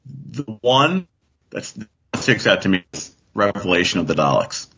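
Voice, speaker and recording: A man's voice: lowish voice, very animated, normal speed, sharp tone, breathy edge. A man chatting casually as a podcast guest, rambling and thinking aloud as he goes. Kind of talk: casual chat. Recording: dull, muffled lo-fi sound.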